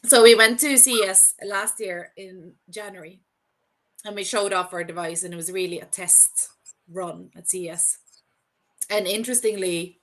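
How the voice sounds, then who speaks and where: A woman's voice: lowish voice, a bit bright. A woman who is unwell and losing her voice, talking informally and anecdotally as an interview guest. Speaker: podcast guest, startup founder. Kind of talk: casual interview talk.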